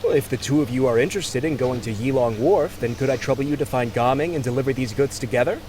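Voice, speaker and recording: A man's voice: medium pitch, fast talker, background noise, close to an everyday voice. An actor playing a polite, harried male office clerk in a video game, courteous and apologetic. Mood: polite, brisk.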